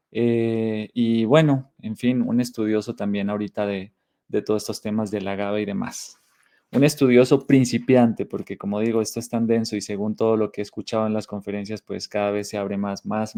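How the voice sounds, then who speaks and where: A man's voice: fairly low pitch, vocal fry, mellow. A Colombian man with a slightly Mexican tinge, speaking as a guest in an online talk, reflective and thoughtful. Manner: reflective, thoughtful, with frequent restarts.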